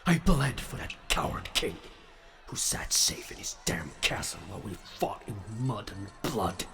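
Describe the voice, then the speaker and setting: Guy playing a bitter proud soldier, super gravelly and sharp, contemptuous, fairytale audio drama. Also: lowish voice, sing-song, a little slow, recorded from a distance, faint music behind, heavily acted. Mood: seething contempt. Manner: contemptuous, seething muttering. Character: bitter, furious war-veteran soldier, proud and entitled.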